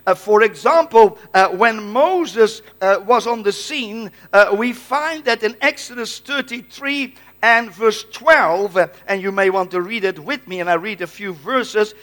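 A man's voice: high-pitched, measured pace, few pauses, fairly bright tone. A man from the Netherlands with a Dutch accent, preaching a Pentecostal-style sermon in an exclamatory way, often hesitating and repeating words. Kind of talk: Pentecostal-style sermon with congregational call-outs.

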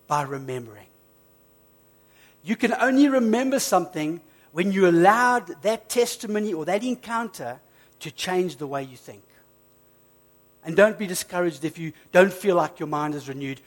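A man preaching a sermon, emphatic and repetitive, slowing down on key phrases, a bit hoarse from flu. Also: sits high. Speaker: pastor.

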